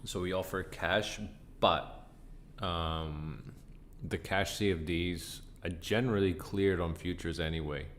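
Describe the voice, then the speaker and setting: A man hosting a casual live trading stream, croaky and tired, answering chat questions in a relaxed way. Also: takes it slowly, a little hiss or hum. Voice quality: croaky, deep from sinus trouble. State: tired, up a long time. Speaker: livestream trading host.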